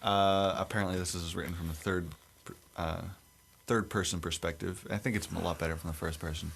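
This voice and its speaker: A young man with a deep radio-like voice, co-hosting a casual podcast and reading a creepy story aloud. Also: fairly even intonation, a little fast, creaky, fairly bright tone, breathy edge. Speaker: podcast co-host.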